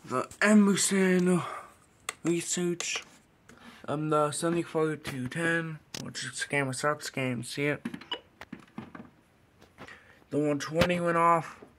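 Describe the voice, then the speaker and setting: A man giving casual, halting vlog commentary in a small room on a handheld camera, rambling through a list. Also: a little high, background noise. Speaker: weather radio hobbyist vlogger. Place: small bedroom, indoors. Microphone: handheld phone or camera.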